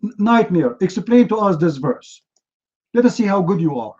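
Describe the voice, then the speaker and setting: Fiery debate host, arabic native, high voice, keeps pushing, muffled stream sound. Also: male voice, big pitch swings, normal speed, darkish tone, husky. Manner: combative, mocking, repeats demands. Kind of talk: heated live online debate. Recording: dull lo-fi stream audio.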